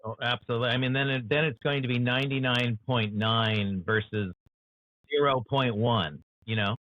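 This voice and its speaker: A man's voice: mid-range voice, steady tone, moderate pace, no echo. American man on a tinny call line. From United States. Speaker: author guest. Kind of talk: earnest interview discussion. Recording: dry, tinny phone-like call audio.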